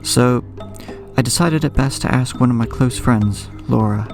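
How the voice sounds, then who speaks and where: A gravelly, creaking young male storyteller played by an actor with clear music underneath. He is haunted and anxious, narrating a horror audio drama in measured, brooding, ornate sentences. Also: medium pitch, lightly acted. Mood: uneasy, reflective. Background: clear background music.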